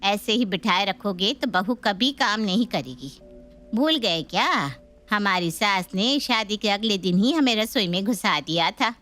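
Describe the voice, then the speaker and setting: A woman's voice: medium pitch, expressive, a little slow, fairly bright tone, faint music behind. A woman voicing a meddling, traditional middle-aged aunt in a family story, pointed and insistent. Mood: nagging.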